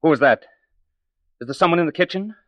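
An actor playing a protective, earnest young boyfriend in a theatrical 1940s radio drama style. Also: male voice, on the high side, animated, fast talker, fairly bright tone, no echo. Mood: concerned, protective.

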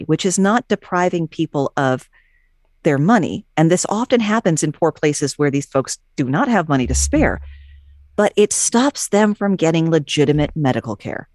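A woman, a podcast guest, reading out facts from her notes in a casual chat and tossing in wry, deadpan jokes. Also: sits low, no room sound, clean studio sound. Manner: wry, jokey asides, deadpan delivery.

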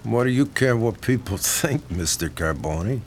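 An actor playing a crooked police lieutenant in a radio drama, dry and sardonic. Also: male voice, lowish voice, animated, normal speed. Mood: wry. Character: wry bribed male police lieutenant. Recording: radio drama with music behind.

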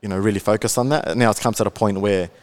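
A man's voice: fairly low pitch, fairly even intonation, fast talker. Laid-back young Australian man. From Melbourne, Australia. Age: 20s. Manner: chatty, laid-back, many fillers, laughs often. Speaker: guest, content creator. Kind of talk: casual podcast interview.

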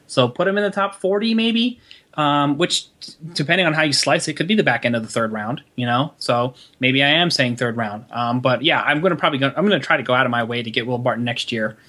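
A man's voice: medium pitch, fast talker, sharp tone. A man co-hosting a casual fantasy sports podcast, rambling and restarting his sentences as he gives his picks. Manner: rambling, restarts sentences, many fillers like "you know". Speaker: podcast co-host, fantasy basketball analyst.

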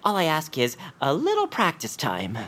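A woman's voice: low-pitched, huge pitch swings, normal speed, fairly bright tone, full character voice. A woman playing an eager, chatty, self-assured young male bird in a family audio drama, gushing and rambling with nervous giggles. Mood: excited. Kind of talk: family audio fiction acting.

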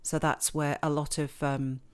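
A woman from England, raised in Switzerland, with an English accent, chatting warmly and laughing often as a novelist being interviewed. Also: low-pitched, level tone, a little slow, clean tone. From born in England, grew up in Switzerland. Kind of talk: casual interview chat.

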